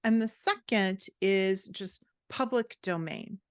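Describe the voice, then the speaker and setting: A woman's voice: mid-range voice, slow, dry recording, clean studio sound. A middle-aged woman giving candid, emphatic advice in a conversational podcast interview as a guest author and writing coach. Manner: candid, emphatic, self-described sassy. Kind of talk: conversational interview answers, explaining and advising.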